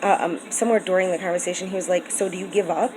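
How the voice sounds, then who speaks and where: A woman's voice: on the low side, steady tone, very fast, background noise. A woman from the United States talking in an earnest, rambling way with many ums and restarts in a personal vlog. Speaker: vlogger. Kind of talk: casual personal vlog talk.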